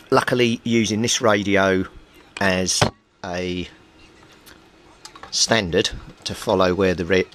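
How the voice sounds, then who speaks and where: A man giving an informal, methodical workbench explanation as a hobbyist repairer. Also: on the low side. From Britain. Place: small indoor home workbench. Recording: clearly noisy home recording.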